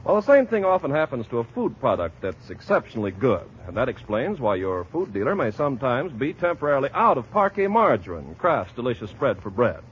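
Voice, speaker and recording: Animated vintage male radio announcer. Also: medium pitch, brisk, hardly any pauses, a little hiss or hum. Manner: polished, salesmanlike. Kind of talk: 1940s radio commercial, scripted narration. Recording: muffled, husky-sounding 1940s radio recording.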